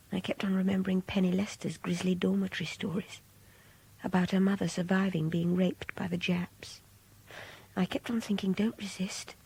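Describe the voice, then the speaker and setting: A breathy, hushed young captive woman. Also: mid-range voice, level tone, normal speed, pauses often, rough recording. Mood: troubled, loathing. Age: young adult. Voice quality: breathy, often near-whispered, gravelly edge. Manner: hushed, brooding, confiding. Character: young kidnapped woman captive, frightened, contemptuous, passionate, intense. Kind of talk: radio drama monologue, intimate diary narration.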